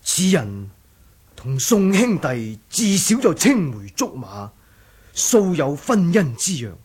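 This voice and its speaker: A man's voice: on the high side, big pitch swings, takes it slowly, darkish tone, breathy edge, full character voice. An actor playing an eloquent, deferential male sect elder and schemer in a Cantonese martial-arts radio drama. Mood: confiding, earnest. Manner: deferential, theatrical, persuasive.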